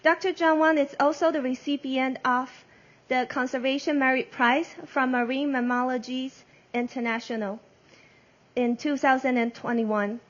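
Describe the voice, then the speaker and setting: A woman's voice: high-pitched, fairly even intonation, moderate pace, muffled recording. A woman whose first language is Mandarin, moderating a press conference and reading prepared introductions carefully, stumbling and correcting herself.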